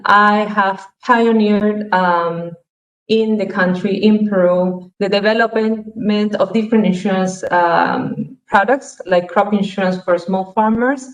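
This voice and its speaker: A middle-aged woman introducing herself on a video call, listing her work history with frequent hesitations. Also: mid-range voice. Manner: lists credentials with frequent hesitations. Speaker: panelist, crop insurance manager. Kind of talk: self-introduction on an online panel. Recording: echoey room.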